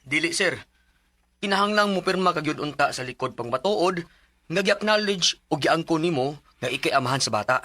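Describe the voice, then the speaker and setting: Formal official, Cebuano accent, insistent, raised pitch, radio drama. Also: male voice, expressive, normal speed, bright, forceful tone. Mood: firm, insistent. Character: man firmly instructing a father about signing papers. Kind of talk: Filipino radio drama acting.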